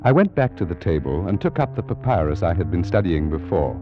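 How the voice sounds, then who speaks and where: An actor playing a scholarly British Egyptologist, formally recounting an eerie adventure in a 1940s radio drama. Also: male voice, deep voice, very animated, a little fast, music in the background. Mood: uneasy, suspenseful. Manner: formal, precise, dramatic storytelling. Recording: muffled, noisy vintage radio recording.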